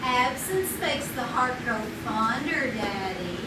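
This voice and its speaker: A woman's voice: fairly high pitch, expressive, slow pace. A woman playing a sweet, dreamy young girlfriend. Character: young woman Peggy, romantic daughter. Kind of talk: 1950s-style radio drama acted live on stage. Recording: echoey theater sound from a distant mic, loud noise.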